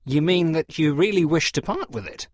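A man's voice: fairly high pitch, expressive, moderate pace, no echo, clean studio sound, pitched above the performer's own voice. A man voicing a sly, over-polite middle-aged dealer posing as a fussy parson in a storybook reading. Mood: genteel, feigned indifference. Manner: over-polite, apologetic, feigning reluctance. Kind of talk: storybook character dialogue.

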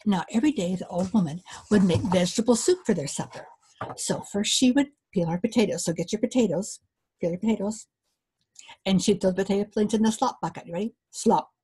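An older woman storyteller reading a folktale aloud to children, animated and inviting listeners to join in. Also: mid-range voice, brisk, slightly rough, low-quality recording. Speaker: author and storyteller. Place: small book-lined room indoors.

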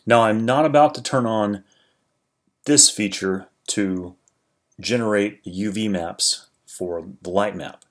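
A man narrating a screen-recorded 3D software tutorial, talking through each step in a relaxed, explanatory way with frequent small restarts. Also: lowish voice, slow. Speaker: 3D tutorial creator.

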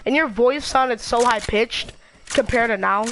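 Young boy streamer, excitable and rambling, mid-pitched, noisy game audio underneath. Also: measured pace. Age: child. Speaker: gamer. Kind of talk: casual gaming chat. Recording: noisy gameplay audio behind.

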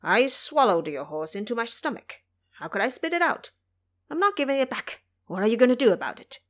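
A woman's voice: fairly high pitch, very animated, brisk, a bit bright. Woman voicing a resentful young male dragon. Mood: angry defiant. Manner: aggrieved, grumbling, defiant. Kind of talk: audio drama storytelling.

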